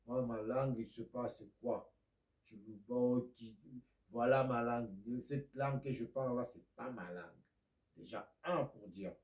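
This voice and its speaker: A man from Congo preaching a video sermon, a speaker of Lingala and Kikongo who swings from loud declaiming to near-whispers. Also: mid-range voice, a little slow, reverberant.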